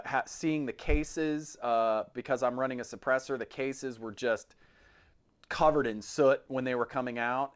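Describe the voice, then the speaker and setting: A man's voice: mid-range voice, clean tone. A man co-hosting a podcast with casual banter, often repeating words as he gets phrases started. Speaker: podcast co-host.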